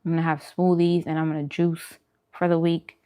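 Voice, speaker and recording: A woman's voice: sits low, little pitch movement, fairly quick, dark tone, clean tone. A woman vlogger chatting casually with viewers, giving emphatic, opinionated food advice and repeating phrases for emphasis. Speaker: vegan food vlogger hosting a mukbang. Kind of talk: casual livestream chat answering viewers.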